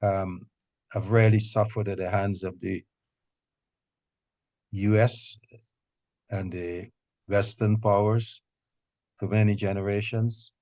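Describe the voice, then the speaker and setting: A man chairing a political meeting, speaking off the cuff in a halting, measured way. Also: fairly low pitch, flat delivery, darkish tone. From Guyana. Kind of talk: extemporaneous political meeting remarks.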